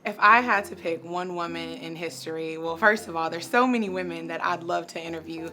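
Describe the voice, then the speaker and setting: Woman union president answering an interview question, really bright punchy voice, kinda low. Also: fairly quick.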